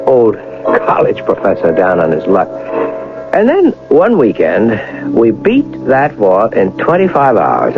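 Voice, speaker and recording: An actor playing a wealthy, educated young gentleman turned bank robber in a radio drama, polished and formal with measured pauses. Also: mid-range voice, vocal fry. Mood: wry recollection. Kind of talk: radio drama acting and first-person narration. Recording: muffled vintage radio broadcast.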